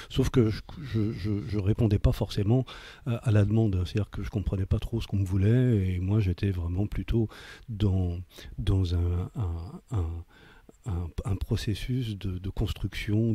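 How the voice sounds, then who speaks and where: A man's voice: lowish voice, warm tone, breathy edge, no echo. A man, recalling his life as an interview guest in a hesitant way, searching for words and repeating himself. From Paris, France. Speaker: interview guest, leather craftsman. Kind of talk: reflective autobiographical interview talk.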